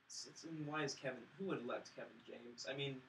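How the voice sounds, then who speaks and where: A man's voice: medium pitch, steady tone, fast, fairly bright tone. A man chatting casually as a panelist on a film review podcast, blunt and dryly sardonic in his opinions. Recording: roomy, distant mic with faint noise.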